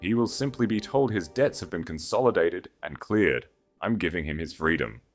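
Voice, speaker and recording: Resolute young noblewoman voiced low by a man. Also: lively intonation, normal speed, faint music behind. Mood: tender resolve. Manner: formal, composed, decisive. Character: young aristocratic bride, gentle yet resolute lady. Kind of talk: dramatised audiobook story.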